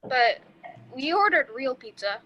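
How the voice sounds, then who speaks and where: Woman reading an earnest geeky young host, high and bright. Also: big pitch swings, measured pace, highs cut off, heavily acted. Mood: uneasy. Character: earnest, geeky young man hosting a party. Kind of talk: amateur table read.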